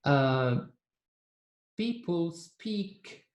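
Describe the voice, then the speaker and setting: A man teaching an English lesson on video, speaking slowly and repeating key words for his students. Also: on the high side, lively intonation.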